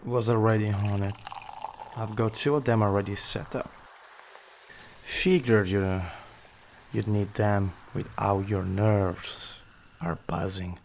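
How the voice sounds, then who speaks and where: Man acting loyal mob lieutenant, low-leaning voice, raspy fry, dry wit, patient, audio drama. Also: fairly even intonation, takes it slowly, pauses often, a little hiss or hum. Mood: wry, calm. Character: laid-back, loyal mafia right-hand man, wry and patient. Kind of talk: scripted audio roleplay acting.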